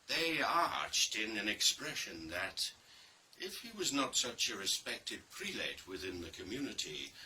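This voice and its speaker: A man reads a dark story as dramatic scripted narration, theatrical and deliberate, with pauses and heavy emphasis. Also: fairly low pitch. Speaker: reader of a play. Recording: distant mic with faint background noise.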